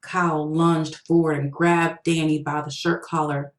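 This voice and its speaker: Female story narrator, low register, author reading own fiction, recorded in an echoey room. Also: level tone, a little slow.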